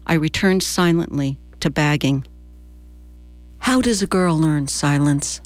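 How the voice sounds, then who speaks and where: An American woman in her sixties reads a scripted personal essay for radio in a measured, deliberate storytelling manner. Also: on the low side, dry recording. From New Jersey, United States. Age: 60s. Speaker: radio commentator and presenter.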